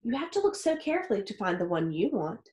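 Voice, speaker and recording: A woman in her thirties reading a picture book aloud like a teacher, expressively and with deliberate phrasing for young listeners. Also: medium pitch, very animated, a little mellow. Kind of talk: expressive children's read-aloud. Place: small home office or study with wooden shelves. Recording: roomy, echoey indoor sound.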